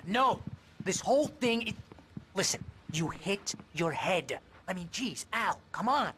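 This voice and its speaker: An actor playing an anxious, talkative, loyal best friend in a video game, nagging in wordy, sarcastic exasperation. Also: male voice, sits high, very animated, unhurried, a bit bright, a little hiss or hum, exaggerated voice. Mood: worried, exasperated.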